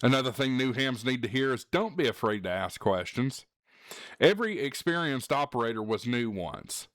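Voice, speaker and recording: Male channel host, earnest, plain-spoken advice vlog, mid pitch, dry recording. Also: fairly bright tone, dry recording. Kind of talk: candid advice vlog to viewers.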